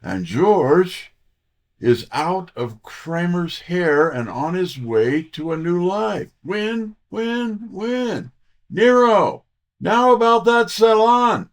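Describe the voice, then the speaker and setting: An actor playing a wry, breezy detective's assistant in an audio drama, with a theatrical, wisecracking delivery. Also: male voice, high voice, expressive, takes it slowly, exaggerated voice. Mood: upbeat. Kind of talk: full-cast audio drama, detective narration.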